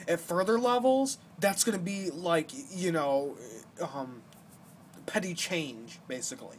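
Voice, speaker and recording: Young male gaming host, slightly high voice, rambling explanatory delivery, noisy amateur home recording. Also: a little slow. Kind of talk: casual gameplay commentary.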